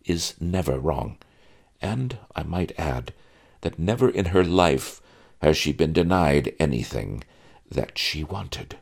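A man's voice: extremely low pitch, animated, takes it slowly, creaky, heavily acted. A man voicing a weary, henpecked old scholar in an audiobook, with a resigned, sighing delivery.

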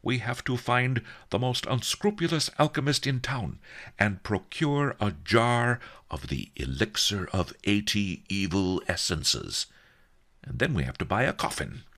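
A man's voice: fairly high pitch, sing-song, slow, creaky, pushed and bright, voice pushed up, exaggerated voice. A man voicing a cunning, indignant old great-grandfather in a storybook, given to theatrical huffing and wailing. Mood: gleeful scheming. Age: older. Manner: theatrical, huffy, sobbing outbursts.

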